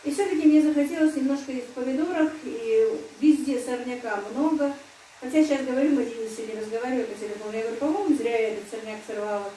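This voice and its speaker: A middle-aged woman talks casually and at length to her viewers from home, in a homely, rambling manner that keeps turning to them as friends. Her voice sits a little high. The sound is roomy and picked up from some distance, with a faint hum of background noise. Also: very fast, mellow. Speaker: home vlogger. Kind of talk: casual chatty monologue to viewers. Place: rural home.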